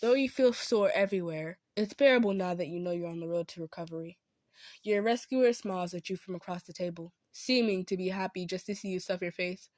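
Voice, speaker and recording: A woman's voice: fairly low pitch, fairly quick, darkish tone, no echo. Woman narrating a story, very dry sound. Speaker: narrator and performer of roleplay audio. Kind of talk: scripted second-person story narration.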